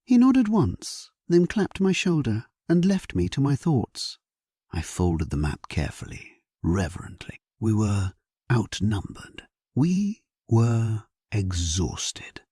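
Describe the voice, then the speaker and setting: A man narrating a first-person historical sleep story in a solemn, measured, hushed, breathy voice, as a grave and resolute medieval king. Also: on the low side, huge pitch swings, dry recording, studio quality.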